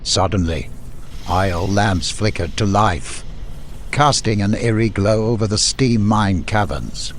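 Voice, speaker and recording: A man's voice: on the low side, slow, slight background noise. A man reading scripted fantasy narration as an audiobook storyteller.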